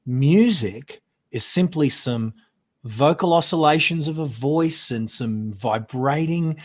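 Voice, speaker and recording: A loud, animated man with a slightly high voice, telling stories in a casual chat. He rambles and corrects himself often, and his voice rises and falls a lot. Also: slow pace. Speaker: podcast guest.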